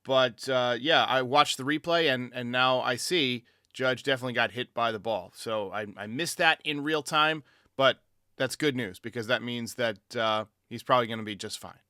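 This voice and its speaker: A man's voice: mid-range voice, normal speed, pushed and bright, smooth, no echo, studio quality. A man hosting a baseball fan show, giving his commentary in answer to callers. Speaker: sports show host.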